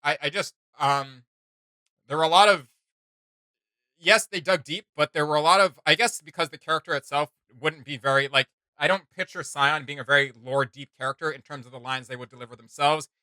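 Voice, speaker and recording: A man's voice: fairly high pitch, brisk, sharp tone, smooth, no echo. A man hosting a reaction video, thinking aloud in casual, rambling commentary.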